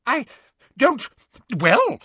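A man's voice: extremely high pitch, wildly swooping pitch, drawn-out words, pushed and bright, no echo, dull audio, pitched above the performer's own voice, exaggerated voice. A man voicing a flustered older government minister in an audiobook, stammering, blustering and gibbering with panic.

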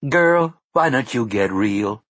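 A man's voice: medium pitch, lively intonation, slow pace, fairly bright tone, dry recording. A man voicing a tough, determined woman who questions bluntly and presses hard. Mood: pressing.

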